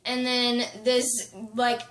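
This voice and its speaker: A woman's voice: fairly high pitch, completely flat delivery, slow, fairly bright tone. A woman telling a story in casual chat, rambling and saying "like" a lot. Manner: rambling, leans heavily on "like". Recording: roomy echo.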